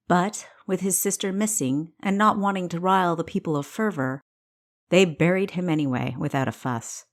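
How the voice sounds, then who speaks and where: A woman reading scripted fiction as the narrator of her own audiobook. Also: fairly low pitch.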